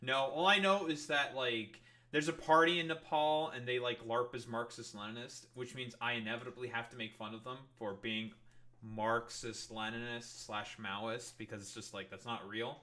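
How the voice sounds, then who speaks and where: A man's voice: medium pitch, fairly even intonation, normal speed, sharp tone. A man chatting casually about politics as a stream co-host, blunt and sardonic, with plenty of swearing. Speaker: livestream co-host.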